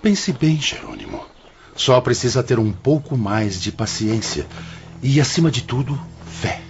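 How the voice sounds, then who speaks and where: An actor playing a serene, wise, fatherly director of an afterlife hospital in an audiobook drama, speaking in a measured, formal, counselling way. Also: medium pitch, expressive, darkish tone. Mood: gently firm. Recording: dull lo-fi audio under prominent music.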